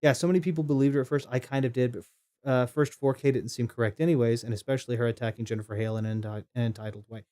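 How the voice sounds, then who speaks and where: A man's voice: mid-range voice, steady tone, very fast, dark tone. A man streaming a game, talking casually and rambling through opinions, with frequent restarts and chat comments read aloud.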